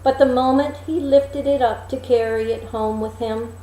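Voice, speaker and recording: A woman reading a fairy tale as a storyteller, with deliberate, dramatic phrasing and pauses. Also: a little high, fairly even intonation, mellow. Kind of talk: expressive, measured storybook reading. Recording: roomy echo, faint background noise.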